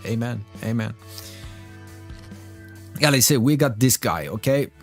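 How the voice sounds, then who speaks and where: A man hosts a casual live political stream in English, his mid-pitched voice rising and falling a lot. He speaks informally and earnestly, repeating phrases for emphasis, over faint background music. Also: lively intonation, unhurried. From Greece and Sweden.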